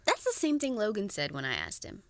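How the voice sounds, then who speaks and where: A woman playing a confident, teasing young farm woman in love in an animated drama, playful and possessive. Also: mid-range voice, fairly quick, vocal fry, dry recording, full character voice. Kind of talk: naturalistic animated series acting.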